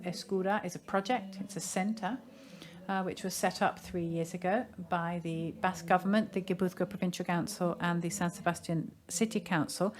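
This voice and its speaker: A woman giving a simultaneous interpretation of a seminar in a measured, formal way, pausing now and then to find her phrasing. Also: on the low side. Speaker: conference interpreter.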